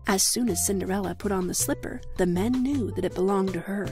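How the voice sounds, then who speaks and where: A woman's voice: mid-range voice, brisk, over music. A woman with an American accent narrating a scripted fairy tale for children. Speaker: storyteller.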